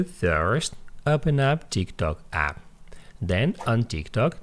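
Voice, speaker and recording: Low-voiced man with swinging intonation. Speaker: tutorial host. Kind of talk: scripted how-to tutorial narration.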